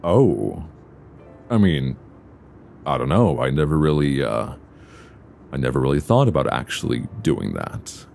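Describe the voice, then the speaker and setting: Man acting as very deep-voiced, affectionate boyfriend. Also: sing-song, gravelly, faint music behind, heavily acted. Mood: surprised, hesitant. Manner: soft teasing, unhurried, conversational with hesitant pauses. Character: affectionate, playful boyfriend on a luxury flight. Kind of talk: intimate ASMR boyfriend audio roleplay. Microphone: close binaural microphone. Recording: close binaural recording, faint background noise.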